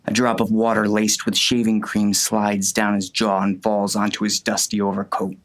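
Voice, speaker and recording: Man acting a low-voiced, menacing western sheriff. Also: completely flat delivery, moderate pace, lightly acted. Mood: cold anger. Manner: curt, threatening, hard-boiled. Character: hard, menacing male sheriff, a vengeful father. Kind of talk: first-person audiobook narration and dialogue.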